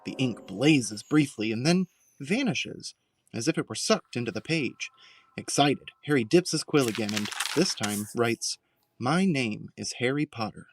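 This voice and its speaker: A man's voice: mid-range voice, expressive, a little slow, distant mic, a little hiss or hum, rough recording. A man narrating an audio drama from a script in a dramatic storytelling style.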